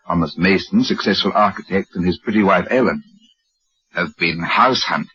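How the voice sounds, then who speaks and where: An actor playing a grave, solemn narrator of a supernatural anthology, in theatrical old-time radio style. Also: male voice, low-pitched, normal speed, sharp tone, breathy edge, exaggerated voice. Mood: storytelling. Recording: dull old radio broadcast recording.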